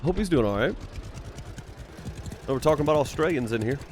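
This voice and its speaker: A man's voice: on the high side, expressive, brisk, darkish tone, slight background noise. A man from Mississippi with a Mississippi accent, chatting casually and teasingly with live viewers as a streamer, laughing often. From Mississippi, United States.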